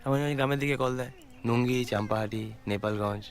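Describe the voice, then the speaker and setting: An actor playing a casual, evasive younger brother who plays flute, in a naturalistic drama with clipped talk. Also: male voice, medium pitch, steady tone, fast talker, close to an everyday voice. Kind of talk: naturalistic film drama dialogue.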